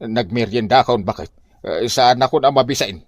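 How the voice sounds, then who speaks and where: A man's voice: mid-range voice, moderate pace, no echo, lightly acted. A man with an Ilocano accent playing an evasive, grumbling husband and father in a village comedy drama, given to whiny exclamations. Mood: whining, protesting.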